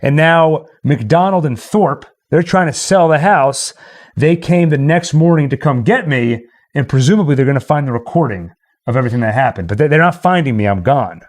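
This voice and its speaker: A man's voice: on the high side, lively intonation, moderate pace. A man hosting a stream, casually explaining a radio play's scenes to viewers. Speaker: stream host narrating a radio play.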